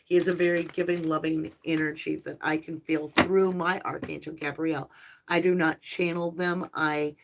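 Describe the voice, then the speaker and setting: A woman hosting a live tarot stream, talking softly and affectionately to her viewers, her voice slightly hoarse and tired. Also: low-pitched, little pitch movement, very noisy. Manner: soft, slow, spiritual and affectionate, addressing viewers as lovelies. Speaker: tarot reader and channel host.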